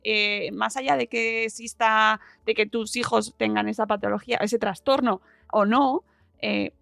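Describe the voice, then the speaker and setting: Woman, gravelly fry, slightly high pitch, effusive podcast host, casual chat. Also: fairly even intonation, unhurried, a bit bright, no room sound, faint music behind. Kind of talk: casual conversational interviewing.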